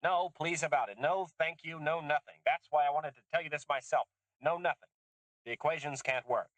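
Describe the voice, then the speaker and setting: A man voicing an older woman geneticist, bitter and intense, speaking emphatically in a raised pitch. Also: moderate pace, fairly bright tone, no room sound, natural voice, poor audio. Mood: agitated. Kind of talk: audiobook character voice.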